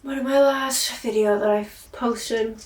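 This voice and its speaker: A woman's voice: on the high side, steady tone, a little slow. Tired woman, echoey and distant. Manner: introspective and emphatic, swears often, sighs. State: tired, sore-throated voice. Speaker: vlogger and singer. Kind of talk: rambling video diary monologue. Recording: echoey room, far from mic.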